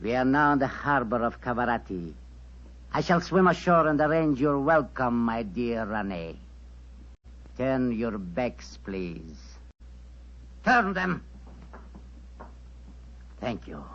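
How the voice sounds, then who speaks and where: A menacing gentleman villain from a 1940s radio play. He speaks slowly and formally, with wide swings of pitch and icy, commanding courtesy. The recording is dull and dated. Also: big pitch swings, full character voice. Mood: smug, commanding. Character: menacing, coldly polite male villain with a revolver. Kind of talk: 1940s radio drama acting.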